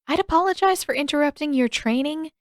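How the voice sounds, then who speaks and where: A woman's voice: sits high, brisk, no echo, studio quality, pitched above the performer's own voice, natural voice. A woman voicing an urgent, commanding teenage girl on the run in a fantasy audiobook. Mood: brisk, impatient.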